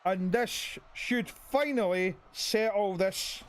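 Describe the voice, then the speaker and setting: A man with a hoarse voice commentating a video game wrestling match and hosting his channel, with an announcer's style. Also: slow pace. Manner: hype announcer, booming when calling the finish.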